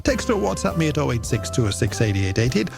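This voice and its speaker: A man's voice: medium pitch, sing-song, fast talker, vocal fry. A man presenting a late-night music radio show in playful, theatrical, florid patter from a studio. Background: music bed under the voice.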